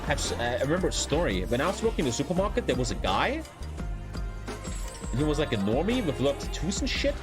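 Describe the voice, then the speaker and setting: A man's voice: a little high, sing-song, quick pace. A man with a German accent chatting casually as a live streamer, rambling, blunt and heavily sweary. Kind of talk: casual livestream chat over gameplay. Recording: loud music behind the voice. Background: loud music.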